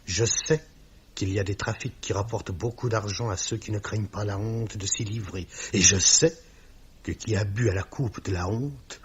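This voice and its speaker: An actor playing a stern, sanctimonious company boss who speaks in pompous, formal, scripture-laden sentences in a 1950s-style radio mystery. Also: male voice, fairly low pitch, normal speed. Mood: righteous, condemning. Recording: dull old radio recording with clear hiss.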